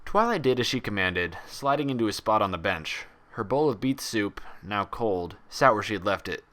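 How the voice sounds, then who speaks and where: A man's voice: on the low side, big pitch swings, moderate pace, fairly bright tone. A man reading fan fiction aloud as scripted story narration. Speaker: fan fiction audiobook reader.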